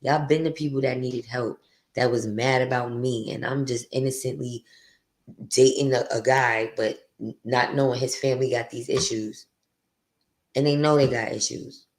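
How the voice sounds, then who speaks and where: A woman with a deep voice hosting her podcast, venting bluntly and profanely in a candid, confessional monologue. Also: steady tone, moderate pace. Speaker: podcast host.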